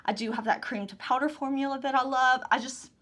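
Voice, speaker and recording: A woman's voice: high voice, steady tone, a little fast, fairly bright tone. A woman casually talking through her makeup collection, gushing and enthusiastic, repeating her favorite phrases. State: hoarse, recovering lost voice. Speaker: beauty YouTuber. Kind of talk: casual product overview.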